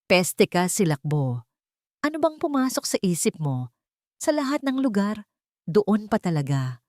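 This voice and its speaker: A woman's voice: mid-range voice, sing-song, measured pace, a little mellow, no echo, clean studio sound. A woman with a Filipino accent voicing a scheming husband who pleads with feigned remorse in an audiobook. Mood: self-reproach. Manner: pleading, deferential to his elder.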